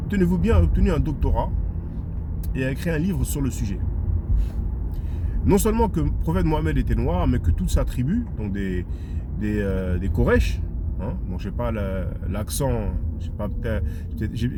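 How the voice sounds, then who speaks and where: A man giving casual spoken commentary, animated and hesitant, often restarting his words and adding mocking asides. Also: medium pitch, normal speed, pauses often, noisy background. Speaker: YouTube commentator.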